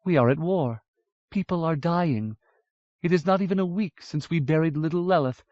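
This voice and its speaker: A man's voice: on the high side, normal speed, darkish tone, dry recording, voice pushed up, natural voice. A man voicing a stern, worried older noblewoman who speaks in a cross, fretful way. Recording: dull lo-fi audiobook recording.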